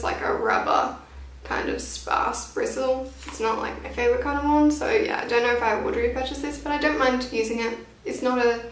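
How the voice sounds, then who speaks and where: A woman in her twenties chatting casually and informally to camera as she reviews beauty products, with a self-deprecating manner. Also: a little high. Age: 20s. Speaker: beauty vlogger. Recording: roomy echo, distant from the mic.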